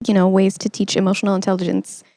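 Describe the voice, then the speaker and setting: A woman's voice: mid-range voice, monotone, quick pace, warm tone, no echo. A young woman hosting a conversational podcast interview, speaking in an effusive, encouraging way. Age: young adult. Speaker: podcast host.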